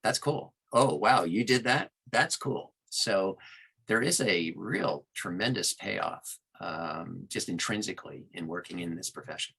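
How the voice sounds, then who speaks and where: Male audiobook narrator in a podcast interview, lowish pitch with a bright, forceful tone. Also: unhurried, vocal fry, husky, low-quality recording. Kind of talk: conversational interview talk.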